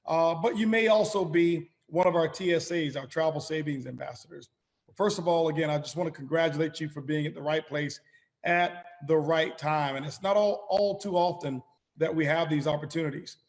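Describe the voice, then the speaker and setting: A middle-aged man with a slightly raspy voice, hosting a motivational sales call as company chairman, emphatic and repeating his phrases. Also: on the high side, steady tone, normal speed, in a large hall. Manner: emphatic, repeats phrases, addresses listeners as ladies and gentlemen. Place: calling in by phone, not a studio.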